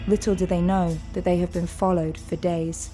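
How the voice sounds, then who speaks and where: A woman's voice: fairly low pitch, flat delivery, normal speed, music in the background, close to an everyday voice. A woman narrating a sci-fi audio drama as a solemn storyteller, in a dramatic scripted style. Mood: solemn, suspenseful.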